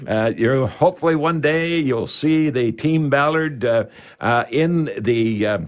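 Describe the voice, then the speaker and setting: An older man with a Canadian accent hosting a casual radio talk show in a folksy, halting way. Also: mid-range voice.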